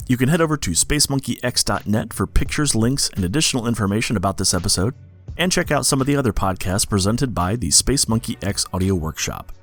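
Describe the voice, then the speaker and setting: A man's voice: on the low side, expressive, fairly quick. A man hosting a podcast, reading a scripted outro. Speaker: podcast host. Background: faint music.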